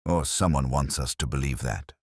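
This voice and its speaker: A man voicing a courteous, incisive police inspector in a mystery story, measured and decisive. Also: extremely low pitch, no room sound, studio quality.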